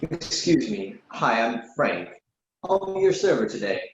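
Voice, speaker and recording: A man's voice: medium pitch, wildly swooping pitch, a little slow, creaky, heavily acted. Roomy, muffled man playing polite waiter. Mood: polite, attentive. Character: polite young male waiter. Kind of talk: readers theatre play reading. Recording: roomy, muffled, faintly noisy microphone.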